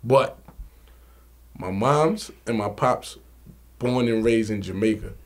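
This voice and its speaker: A man's voice: on the low side, slow pace. A man from Bushwick who is a reggae MC, talking casually in an interview with a boastful, streetwise manner that repeats his points. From Bushwick, New York. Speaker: reggae MC for a sound system.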